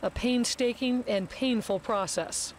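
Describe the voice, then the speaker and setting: A woman's voice: on the high side, unhurried, noisy background. A woman with an American accent giving a live local television news report from the field. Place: outdoors at a rural scene.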